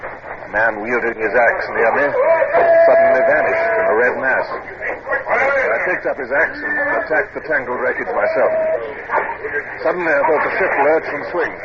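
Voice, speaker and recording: A man's voice: medium pitch, huge pitch swings, unhurried. An actor playing a grave British naval captain who narrates his battle in dramatic first person for 1950s radio. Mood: grave, tense. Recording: noisy muffled old radio recording.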